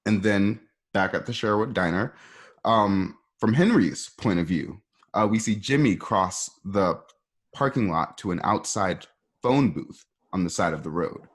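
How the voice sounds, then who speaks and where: Deep husky guy reading out scene descriptions for a radio play, kinda conversational. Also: animated, measured pace. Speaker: narrator of an amateur radio play.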